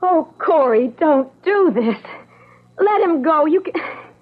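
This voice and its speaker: High-voiced, distraught, pleading fiancée. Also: female voice, lively intonation, takes it slowly. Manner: imploring, emotional. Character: distraught, pleading young fiancée, sensitive and moral. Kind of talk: old-time radio drama acting. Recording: tinny narrow vintage radio recording.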